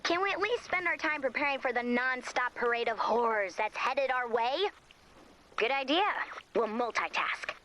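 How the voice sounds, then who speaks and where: Bright, high, sardonic young woman. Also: moderate pace, a little hiss or hum. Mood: snarky. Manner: dry, sarcastic, snarky. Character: sardonic, bossy, impatient young woman teammate. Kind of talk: video game character voice acting.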